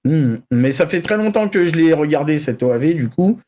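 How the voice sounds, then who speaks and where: A man's voice: fairly high pitch, lively intonation, a little fast, a little mellow. A man chatting casually and informally, with some slang, as a columnist on a panel show about geek news. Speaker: columnist on an anime and manga show.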